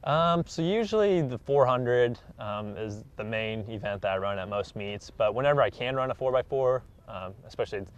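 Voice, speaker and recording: A man's voice: mid-range voice, expressive, normal speed, hardly any pauses. A man in his twenties, an athlete giving casual, matter-of-fact explanations in an interview. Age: 20s. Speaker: elite track athlete being interviewed. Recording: dull, muffled lo-fi recording.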